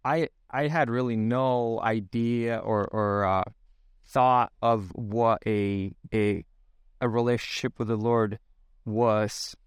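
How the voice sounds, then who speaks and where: A man's voice: on the low side, fairly even intonation, slow, smooth, no echo. A man in his thirties from Sweden with a Swedish accent, speaking English, reflecting as a podcast guest with many fillers and restarts. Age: 30s. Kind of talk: casual podcast interview.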